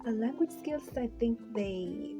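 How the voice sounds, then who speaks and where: A woman's voice: fairly high pitch, lively intonation, measured pace. A young woman with a Kenyan accent, whose first language is Swahili, giving casual advice in a chatty, hesitant way with many fillers and restarts. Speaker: vlogger. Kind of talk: casual how-to vlog. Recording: music playing underneath.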